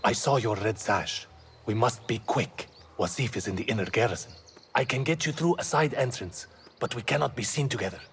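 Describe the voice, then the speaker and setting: An actor playing a cautious steward and secret helper in a video game, guarded and urgent. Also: male voice, mid-range voice, a little fast, background noise, natural voice.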